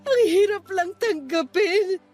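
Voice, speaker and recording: A woman's voice: very high-pitched, takes it slowly, darkish tone. An actress playing a young bereaved mother in a radio drama, despairing, stubborn and anguished. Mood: tearful. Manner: anguished, tearful, self-blaming. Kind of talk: radio-drama dialogue acting. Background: music.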